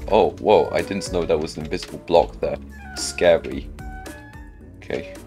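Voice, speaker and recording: Low-voiced man casually commentating a game. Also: a little mellow. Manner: muttering to himself, asking himself questions. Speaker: gaming video host.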